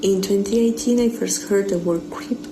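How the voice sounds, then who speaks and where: A woman, carefully reading a prepared conference talk over a webcam. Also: medium pitch. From Peru. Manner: careful, measured reading from a script. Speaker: conference speaker. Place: indoor room at home. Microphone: webcam video call. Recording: echoey room with clear background noise.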